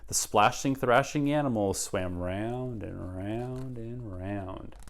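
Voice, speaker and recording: A middle-aged man reading a picture book aloud to children in an expressive, dramatic way. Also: fairly low pitch, slow, slight background noise. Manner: animated, dramatic. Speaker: read-aloud guest reader. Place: child's bedroom.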